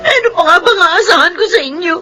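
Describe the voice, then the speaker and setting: A very high, sharp-edged young Filipino daughter in a radio drama. She is anguished and defiant, pleading with big swings of pitch and raw emotion. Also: animated, brisk, faint music behind, heavily acted. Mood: tearful pleading. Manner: emotional, pleading, tearful outbursts. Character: defiant, anguished young daughter pushed toward law school.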